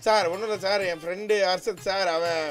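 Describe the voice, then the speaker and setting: A man's voice: sits high, fairly even intonation, fairly quick, faint music behind. A young man bantering as a teasing, persistent radio caller. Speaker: prank caller to radio show.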